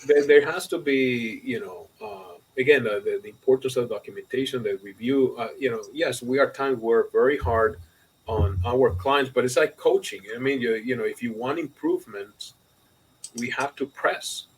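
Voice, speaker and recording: A man co-hosting a casual business podcast, talking in a halting, repetitive way and checking the listener follows. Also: medium pitch, husky, poor audio. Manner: halting, repetitive, often asks "you know what I mean". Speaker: podcast co-host and accountant. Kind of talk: casual conversational business talk.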